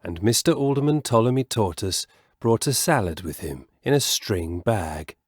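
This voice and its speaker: A man telling a children's story as a scripted, expressive reading, measured and savouring the descriptive words. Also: fairly low pitch, husky, dry recording, studio quality.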